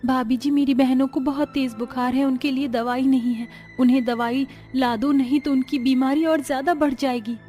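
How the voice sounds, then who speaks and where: A woman playing a gentle, self-sacrificing poor young elder sister who pleads softly in a cartoon moral story. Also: high-pitched, steady tone, moderate pace, few pauses, soft background music, close to an everyday voice. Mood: sad, pleading. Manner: tender, pleading.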